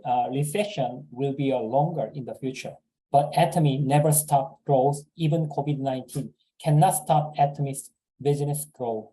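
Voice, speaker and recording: A man giving a sales seminar talk, halting and repeating words with many fillers. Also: fairly high pitch, dark tone, poor audio. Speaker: business presenter.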